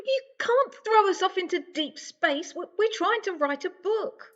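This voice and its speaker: A woman playing a quick-witted, placating alien traveller held prisoner in an amateur audio drama, with a coaxing manner. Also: very high-pitched, expressive, a bit bright, dull audio, exaggerated voice. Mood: alarmed protest.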